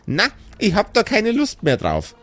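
Man as exasperated fantasy mother, high voice, lively swooping intonation, Bavarian-Austrian accent, sarcastic scolding, audio play. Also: measured pace, soft background music, full character voice. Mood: scolding, fed up. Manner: nagging, sarcastic, rhetorical questions. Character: scolding, exasperated mother of grown adventurer sons.

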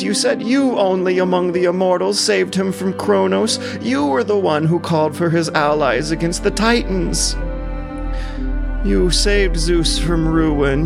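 A man's voice: high voice, creaky, voice pushed up. A man voicing a proud young warrior hero, aggrieved and pleading, in impassioned, declamatory audio drama style. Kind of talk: audio drama acting of epic poetry. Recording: music behind the voice.

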